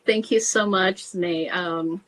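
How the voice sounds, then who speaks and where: A woman author from the United States, answering interview questions softly and unhurriedly, with fillers. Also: on the low side, fairly even intonation, slow. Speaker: guest author.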